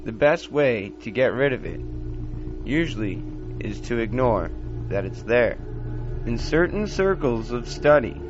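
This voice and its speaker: A man hosting a paranormal-style podcast, reading scripted narration in an old time radio manner with measured, dramatic, deliberate phrasing. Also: medium pitch, lively intonation. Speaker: podcast host. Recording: dull lo-fi basic equipment, music underneath. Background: music bed.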